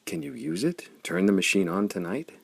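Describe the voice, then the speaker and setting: Man playing a bold, gravelly swordsman hero. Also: lowish voice, very animated, normal speed, pitched above the performer's own voice, heavily acted. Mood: urgent. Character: bold, reckless swordsman hero, quick and commanding.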